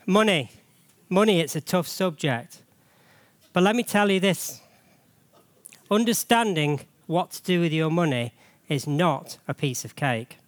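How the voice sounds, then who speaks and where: A man gives a chatty, joking church sermon while fighting a cold that has nearly taken his voice. His speaking voice sits somewhat high. The sound is dry and close. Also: unhurried, dry recording. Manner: chatty, jokey, frequent rhetorical questions. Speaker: church treasurer giving a sermon.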